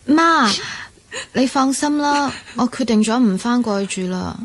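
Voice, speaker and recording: Radio drama role: plucky student daughter, Hong Kong Cantonese, fairly high-pitched, gentle, dutiful. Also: female voice, animated, unhurried. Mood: reassuring. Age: young adult. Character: dutiful, plucky young accountancy student daughter, self-sacrificing.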